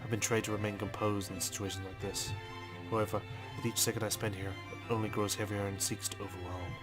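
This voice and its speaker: Low-pitched, even-toned male let's player. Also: fast. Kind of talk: reading visual novel text aloud with brief asides. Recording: music behind the voice. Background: game music.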